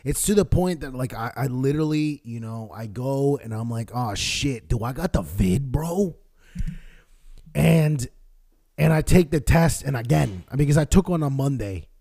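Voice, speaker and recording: Hoarse, raspy male podcast co-host with an average pitch who tells stories in a rambling, profane way. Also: expressive, moderate pace, warm tone. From Florida. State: hoarse from talking too much. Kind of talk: casual chat.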